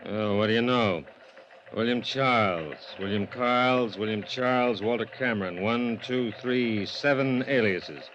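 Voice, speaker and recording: A man playing a gruff, determined police sergeant in a 1950s radio drama, speaking in a terse, offhand way. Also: medium pitch, unhurried, fairly bright tone, lightly acted. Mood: businesslike. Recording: dull vintage radio recording, faint noise.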